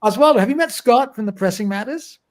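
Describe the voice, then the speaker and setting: A man's voice: very high voice, lively intonation, quick pace. A man hosting a livestream, cheerfully passing the word to his guests. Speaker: livestream host. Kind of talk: casual chat.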